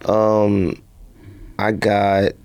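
A man's voice: fairly low pitch, monotone, drawn-out words, a little mellow, clear, resonant tone. A man hosting a podcast in casual sports and sneaker banter, slangy, teasing and given to exclamations. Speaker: podcast host.

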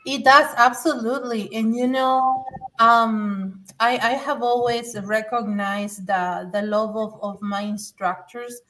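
A woman's voice: fairly high pitch, steady tone, slow, fairly bright tone. Earnest woman, slightly distant. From Mexico. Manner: earnest, emphatic, with frequent restarts. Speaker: guest, former adult learner. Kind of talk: personal testimony in conversation.

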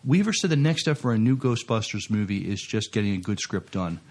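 A man co-hosting a casual podcast, talking in a halting, hesitant way. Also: fairly low pitch, animated, darkish tone. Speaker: podcast co-host.

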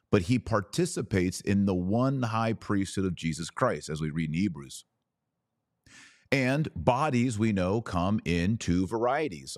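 Low-voiced man explaining religion on a podcast. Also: a little mellow, dry recording, clean studio sound. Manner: emphatic, deliberate phrasing with stressed key words. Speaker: podcast host.

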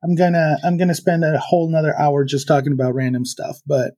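A man from Venezuela speaking as a podcast guest, thoughtful and halting, with many fillers and restarts. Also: on the high side, dark tone. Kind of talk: reflective conversational interview.

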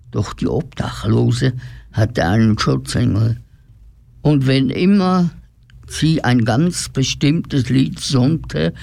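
Slow, gravelly male storyteller. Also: mid-range voice, a little mellow, dry recording. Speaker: narrator. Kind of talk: scripted story narration, radio drama.

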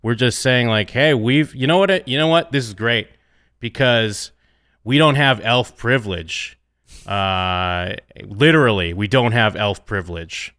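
A man pitching story ideas in a casual chat, animated and halting with many fillers. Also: no echo, studio quality. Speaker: co-writer brainstorming a story. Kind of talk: casual creative brainstorming chat.

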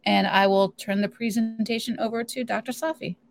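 A woman's voice: fairly high pitch, fairly even intonation, fairly quick, gravelly. A woman hosting an online webinar, giving friendly welcomes and steadily reading out the meeting rules and the speaker's introduction. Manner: friendly, reads announcements steadily. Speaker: webinar host.

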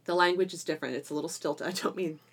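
A woman hosting a casual educational podcast, chatting in an animated way and quick to laugh. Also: low voice, breathy edge. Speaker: podcast host and homeschool teacher.

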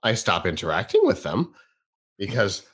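Wry, lively-voiced man. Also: on the low side, normal speed, slightly rough. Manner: wry, emphatic and opinionated. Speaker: podcast co-host. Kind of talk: casual chat.

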